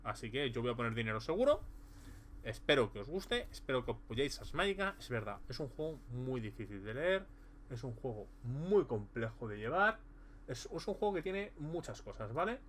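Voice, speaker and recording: A man's voice: mid-range voice, very animated, normal speed, a bit bright. Rambling Spanish-speaking man with faint hum. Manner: rambling, many fillers and restarts. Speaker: tabletop game reviewer. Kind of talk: casual video review.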